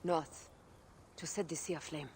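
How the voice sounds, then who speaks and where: An actress playing a resolute, tender warrior wife in a video game cutscene. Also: female voice, low voice, fairly even intonation, a little slow, darkish tone. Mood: sorrowful resolve. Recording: faint ambient noise.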